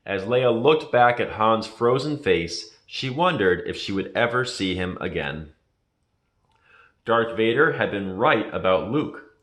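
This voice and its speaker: A man reading a children's story aloud as a scripted narration, in a dramatic, deliberate manner. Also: lowish voice, animated, fairly bright tone. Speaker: storybook reader. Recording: echoey room.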